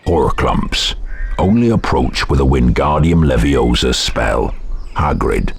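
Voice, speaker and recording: A man playing a gruff, terse giant groundskeeper in a video game. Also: very deep voice, lively intonation, takes it slowly, background noise, exaggerated voice. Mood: gruff caution. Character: gruff giant groundskeeper giving brief warnings. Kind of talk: video game character acting.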